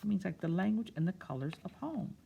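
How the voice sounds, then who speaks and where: Woman, children's read-aloud, very lively intonation, creaky edges, drawn-out phrasing, casual explanatory asides. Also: on the low side, slight background noise. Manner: expressive, drawn-out reading alternating with casual explaining. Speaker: children's storyteller.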